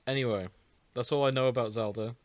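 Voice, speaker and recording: A man's voice: medium pitch, steady tone, fast, no echo. A man hosting a fan fiction reading show, chatting casually with his co-readers. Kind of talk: casual chat.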